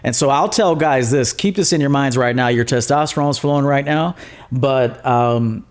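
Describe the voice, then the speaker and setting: A man's voice: mid-range voice, normal speed. A man who works as a coach, a guest on a podcast, giving blunt advice in a loose, repetitive, filler-filled way. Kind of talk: casual podcast interview.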